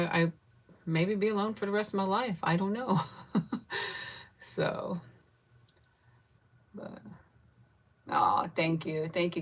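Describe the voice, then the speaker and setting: A woman in her fifties chatting candidly with viewers, grieving and close to tears, rambling with soft laughs and trailing pauses. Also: on the low side. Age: 50s. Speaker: vlogger chatting with live viewers. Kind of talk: casual live chat.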